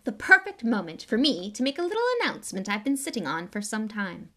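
A woman voicing a vain, showy grown male wizard celebrity in an audiobook, speaking loudly and theatrically. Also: medium pitch, sing-song, brisk. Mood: grandly announcing. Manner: loud, grand, theatrical announcing. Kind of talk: audiobook character voice.

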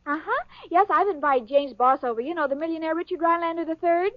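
A woman's voice: extremely high pitch, fast talker, dry recording. An actress playing a sweet, scatterbrained young woman in a 1940s radio sitcom, earnest and bubbly. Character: ditzy, sweet young woman, cheerful and muddled. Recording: dull lo-fi old radio broadcast.

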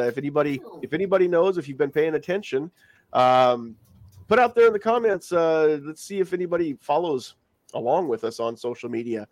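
A man's voice: on the high side, dry recording. A man hosting a casual live podcast, congested from a cold and talking around a lozenge in his mouth. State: congested, getting over a cold. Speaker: podcast host. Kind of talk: casual live-stream chat.

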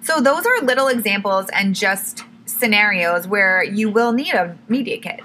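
A young woman hosts her own podcast in a loose, chatty way, full of "like" and quick self-corrections. Her voice is bright and clear, with a little hoarseness creeping in. A faint hum of the room sits underneath. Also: mid-range voice, lively intonation. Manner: chatty, self-correcting, says "like" and "literally" a lot. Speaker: podcast host. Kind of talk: casual solo podcast tips.

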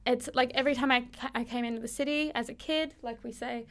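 A young woman co-hosting a podcast, her fairly high voice catching on a gravelly fry. She talks in loose, swearing, jokey rambles. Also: steady tone, fast. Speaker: co-host. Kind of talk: casual podcast chat.

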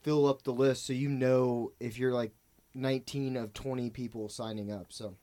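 Rambling, laughing comedian guest. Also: male voice, medium pitch, level tone, moderate pace, warm tone, a little hiss or hum. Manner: rambling, laughs often, swears. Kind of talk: casual podcast chat.

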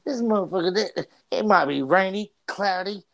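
A man's voice: high-pitched, normal speed, creaky, fairly bright tone, dry recording, clean studio sound. A man with a stutter co-hosting a casual podcast, talking animatedly and profanely, tripping over and repeating his words. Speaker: podcast co-host.

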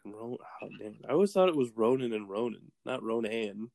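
A man's voice: medium pitch, lively intonation, brisk, vocal fry, darkish tone, dry recording. A man with a Texan accent in casual chat as a podcast co-host, laughing easily and hedging his points with frequent fillers. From Texas. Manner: laughs easily, hesitant, lots of "like".

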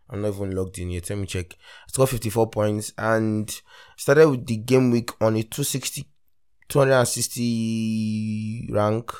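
A Nigerian man hosting a casual solo fantasy football podcast, rambling with lots of fillers and restarts, his voice still deep from the morning. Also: darkish tone, clean tone. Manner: rambling, many fillers and self-corrections. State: morning voice, deeper than usual. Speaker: podcast host.

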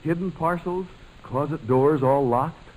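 A man's voice: on the high side, unhurried, darkish tone, low-quality recording. A man announcing in polished, upbeat 1940s radio style, selling and narrating. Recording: old radio broadcast transcription.

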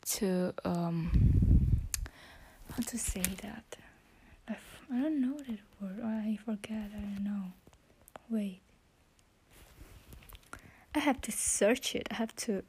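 A teenage woman, an English speaker, talking to her phone in a casual vlog, hesitant, often searching for words, correcting herself and dropping into a soft half-whisper. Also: medium pitch. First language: Vietnamese. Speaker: student vlogger. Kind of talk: casual self-talk vlog practicing English.

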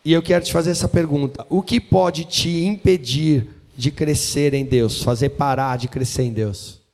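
A man interpreting a sermon line by line, earnest and quick to follow. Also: on the high side, darkish tone, big-room sound. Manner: earnest, repeats each line right after the preacher.

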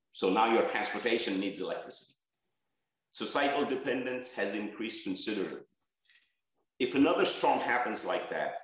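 A man's voice: medium pitch, fairly bright tone, heavy reverb, poor audio. A man from Bangladesh, an energy research head, giving earnest interview answers and listing points with stress on key words.

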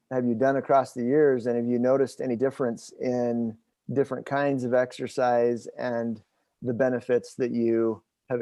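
A man in his forties in a conversational interview, explaining things in a halting, thinking-aloud way. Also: mid-range voice, warm tone, dry recording. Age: 40s. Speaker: host interviewing his father.

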